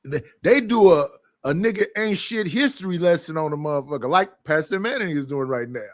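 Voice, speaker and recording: A man with a high voice that leaps all over the place. He rants casually and with a lot of profanity, and he laughs between points as a livestream guest. His delivery stays animated and heated throughout. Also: a little fast.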